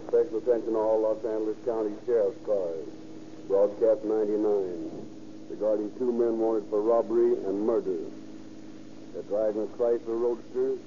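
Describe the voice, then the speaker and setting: A low-pitched man calls out police bulletins in a clipped, matter-of-fact dispatcher style. The sound is a dull 1930s radio recording with slight background noise. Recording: muffled vintage police radio, faint noise.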